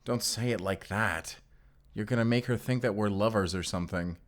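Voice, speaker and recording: A man's voice: fairly low pitch, normal speed, natural voice. A man playing a blunt, irritable, hot-headed vampire slayer with a curt, exasperated manner in an audio roleplay. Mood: irritated, curt. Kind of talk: cinematic audio roleplay acting.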